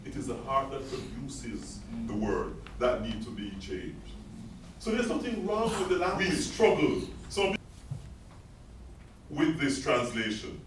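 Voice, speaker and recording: A man's voice: medium pitch, sing-song, unhurried, noisy background. Man preaching in a distant echoey hall. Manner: rhetorical, asks the audience questions. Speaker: Bible Society speaker. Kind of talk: preaching-style speech. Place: large echoey reception hall. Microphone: distant room mic.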